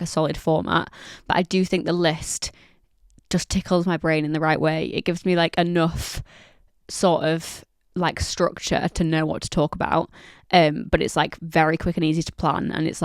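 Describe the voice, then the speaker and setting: Croaky, gravelly-voiced woman podcast host. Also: low-pitched, dry recording, clean studio sound. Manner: chatty, rambling, many fillers and "like"s. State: croaky from a coming cold. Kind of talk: casual solo podcast chat.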